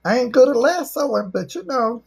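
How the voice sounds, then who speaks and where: Husky, teasing woman. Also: on the high side, expressive, moderate pace. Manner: folksy, teasing, joking. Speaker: livestream host. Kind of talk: casual livestream chat.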